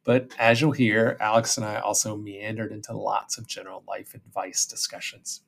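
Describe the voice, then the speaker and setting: A man's voice: lowish voice, level tone, moderate pace, creaky, a bit bright. A man hosting an interview podcast, chatting casually in a friendly way that often stumbles over repeated words. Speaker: podcast host, professor.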